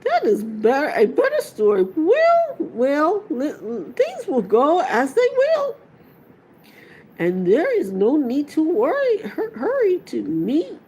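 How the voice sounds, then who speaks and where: A woman voicing an ancient male tree creature in a storybook, ponderous and hesitant, humming as he deliberates. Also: sits high, wildly swooping pitch, dark tone, a little hiss or hum, voice pushed up, heavily acted, low-quality recording. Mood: musing, surprised.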